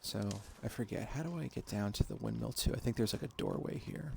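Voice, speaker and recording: A man in his thirties quietly talking through a game at home at night, half-whispering, relaxed and self-deprecating. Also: mid-range voice, fairly quick, creaky, a little hiss or hum. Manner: hushed, half-whispered talk. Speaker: gaming streamer. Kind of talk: casual let's-play commentary.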